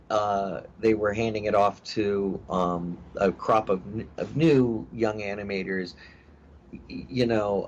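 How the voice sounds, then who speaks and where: Man, middle-aged, low voice, rambling guest full of ums, dull lo-fi recording, faint hiss. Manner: rambling, many fillers and restarts. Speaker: actor guest. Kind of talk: casual interview chat.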